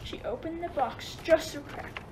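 A woman's voice: sits high, unhurried. A woman playing the storyteller of a comic Greek myth, reading scripted narration in a radio play. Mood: storytelling, dramatic. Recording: noticeable background noise.

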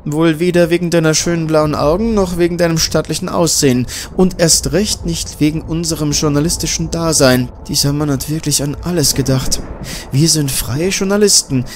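A man's voice: a little high, steady tone, moderate pace, a little hiss or hum, voice pushed up, lightly acted. A man voicing a frightened young woman journalist who speaks urgently and anxiously. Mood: alarmed.

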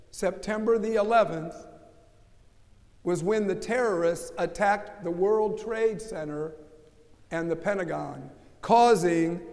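A man's voice: high voice, a little mellow. An older American man preaching a sermon from the pulpit, emphatic and asking rhetorical questions. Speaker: pastor. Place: large church sanctuary. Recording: long church reverb.

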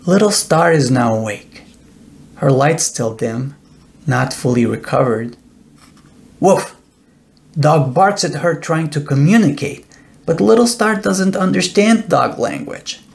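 A middle-aged man narrating a children's bedtime story in a deliberate, expressive storytelling way. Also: fairly high pitch, vocal fry. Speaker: author reading own children's book.

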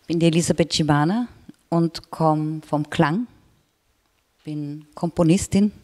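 A woman in her fifties, a composer speaking in a slow, relaxed way about her work into a handheld mic. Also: sits low. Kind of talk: talking about her artwork. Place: gallery room.

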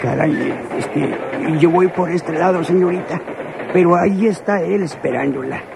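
A creaky-voiced Mexican train vendor. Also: male voice, sits high, normal speed. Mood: courteous. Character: courteous, hesitant train news and soda vendor. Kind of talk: Mexican radio soap opera acting. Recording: noisy old radio drama recording.

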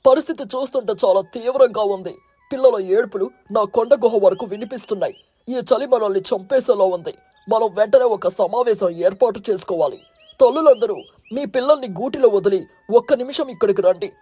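Eagle king for a bird cartoon, a performer's put-on part: mellow, husky, solemn authority, protective, music underneath. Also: medium pitch, a little fast, natural voice. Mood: grave, urgent. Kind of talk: animated children's moral story.

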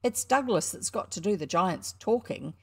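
A woman voicing a cocky young schoolboy showman, loud and indignant as he hawks his show. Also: mid-range voice, lively intonation, normal speed, breathy edge. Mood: boastful.

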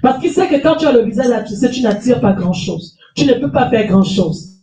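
A woman's voice: on the high side, animated, fairly quick, mellow. Woman pastor, middle-aged, with a cold; emphatic sermon, handheld mic; echoey hall, distant mic. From France. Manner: emphatic preaching, repeating phrases, calling for amens. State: has a cold. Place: small church hall with stage and lectern. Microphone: handheld mic, far camera.

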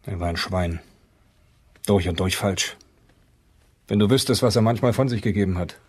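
Wanted: radio-play keeper with a navy past, rough husky tone, blunt and disdainful. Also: male voice, low-pitched, moderate pace. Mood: scornful. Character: blunt, scornful male lighthouse keeper, ex-navy. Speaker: actor in an audio drama. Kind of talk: German crime radio play acting.

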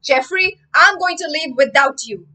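A woman's voice: high-pitched, expressive, normal speed, pushed and bright, distant mic, voice pushed up. A woman voicing a frightened, impatient young girl in a storybook, whiny and pleading. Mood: insistent, upset.

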